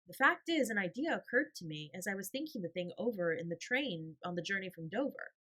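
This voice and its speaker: Storybook father, voiced by a woman: healthy, cheerful, considerate, reassuring, bright in tone. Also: mid-range voice, expressive, fairly quick. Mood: thoughtful. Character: cheery, healthy family father, kindly and thoughtful. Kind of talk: storybook character voice.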